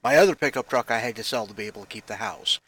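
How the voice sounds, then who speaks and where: A man in his forties talks casually into a headset microphone in his basement, his voice bright and forward. He rambles and restarts often, running himself down with a wry, weary honesty. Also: lowish voice, very fast. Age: 40s. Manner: rambling, self-deprecating, frequent restarts. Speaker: vlogger. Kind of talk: casual self-deprecating vlog talk. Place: basement room at home.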